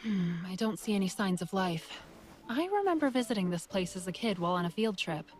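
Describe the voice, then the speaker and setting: A woman's voice: mid-range voice, lively intonation, a little fast, darkish tone, soft background music, natural voice. A woman voicing a practical, spirited young hunter in a video game, earnest and mildly exasperated.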